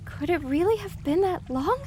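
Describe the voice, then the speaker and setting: Woman as a high, animated young pony girl. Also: normal speed, slight background noise. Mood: anxious. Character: anxious young female pony, sarcastic and easily panicked. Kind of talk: audio drama acting.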